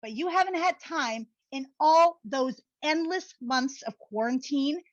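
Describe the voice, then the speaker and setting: A woman's voice: high voice, a little slow, no echo, dull audio. A woman playing a gushing, needy and blunt young woman in a comedic play reading, with dramatic, exclamatory slang. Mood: blunt, teasing. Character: Brooklyn, gushing, needy, blunt young married best friend.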